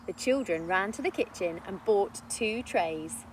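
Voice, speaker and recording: A woman narrating a children's story in an expressive read-aloud style. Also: mid-range voice, measured pace, slight background noise, rough recording. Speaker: storybook reader.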